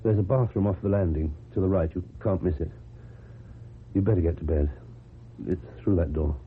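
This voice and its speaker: An actor with a British accent playing a lonely, awkward, earnest man in a radio drama, speaking formally and haltingly. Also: deep voice, close to an everyday voice. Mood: stern, wary. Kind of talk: old-time British radio drama acting. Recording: muffled, noisy old radio recording.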